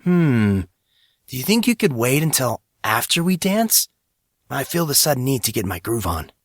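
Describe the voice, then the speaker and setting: A man voices a teenage boy in an audio story, gentle and lightly teasing. The pitch sits above a deep male narrator's, and the delivery is wry but reassuring. The sound is very dry. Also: very animated, normal speed, no room sound. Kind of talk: dramatised audiobook dialogue.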